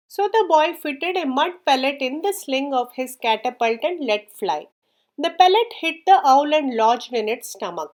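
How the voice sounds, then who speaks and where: High-voiced woman reading a children's story. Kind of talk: scripted children's story narration.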